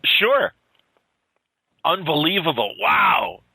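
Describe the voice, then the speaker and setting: Animated, bright male interviewer. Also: on the high side, slow pace, gravelly, dry recording, rough recording. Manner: reactive, enthusiastic brief interjections. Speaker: podcast interviewer. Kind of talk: casual chat.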